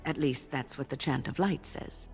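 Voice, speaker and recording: A woman playing a kindly, composed older female mage in a fantasy video game, speaking in a measured, gently instructive way. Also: extremely low pitch, gravelly, faint music behind. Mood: grave, instructive.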